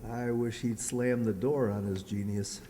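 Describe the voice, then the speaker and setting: An actor playing a sulky, jealous, self-pitying husband with a plaintive, halting delivery in radio comedy style. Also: male voice, medium pitch, steady tone, mellow, close to an everyday voice. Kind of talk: old-time radio comedy acting, re-created. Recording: echoey hall, long reverb.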